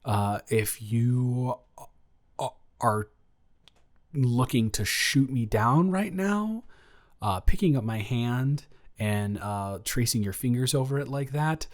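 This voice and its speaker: A man's voice: medium pitch, takes it slowly, lightly acted. A man playing a nervous, lovestruck young man confessing to his best friend in an improvised romantic audio roleplay, stammering and rambling as he goes. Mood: nervous, hopeful.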